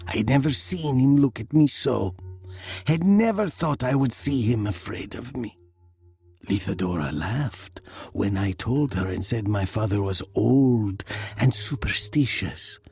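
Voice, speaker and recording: A man playing a brooding narrator recalling his youth in a dramatic story, slow and deliberate, dropping into hushed breathy tones. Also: on the low side, exaggerated voice.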